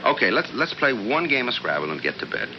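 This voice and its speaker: A man's voice: medium pitch, very animated, fast, lightly acted. An American man acting a skeptical, placating husband in a 1970s radio drama, speaking in a casual, coaxing way. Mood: placating, rattled. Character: skeptical, placating husband, practical and slightly nervous. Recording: dull, noisy 1970s radio recording.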